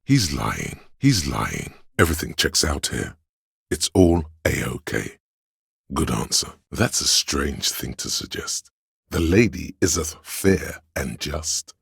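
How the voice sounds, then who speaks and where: Game role: scheming courtly adviser, extremely deep husky bass, grandiloquent and obsequious, close dry sound. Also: male voice, big pitch swings, takes it slowly, fairly bright tone, dry recording, full character voice. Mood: sly, confiding. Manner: theatrical, grandiloquent, addressing a liege. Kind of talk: scripted game voice lines, archaic courtly speech.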